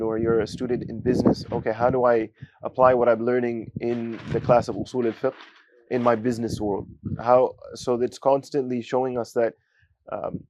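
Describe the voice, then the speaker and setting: A young man from giving a student testimonial, talking haltingly with many fillers and Arabic phrases. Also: mid-range voice, warm tone, no echo. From United States. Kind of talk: casual spoken testimonial.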